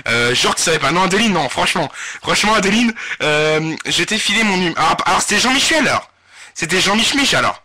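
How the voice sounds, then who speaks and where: A man hosting a live webradio prank show, talking in a crude, slangy, excitable way. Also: sits high, fast. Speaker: webradio host and prank caller. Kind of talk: informal live radio prank-call show banter. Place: webradio studio.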